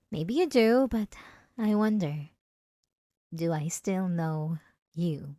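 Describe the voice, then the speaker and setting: A woman playing an obsessive, possessive wife in an ASMR roleplay, speaking in a soft, coaxing, sweetly patronizing manner. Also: medium pitch, dry recording, clean studio sound.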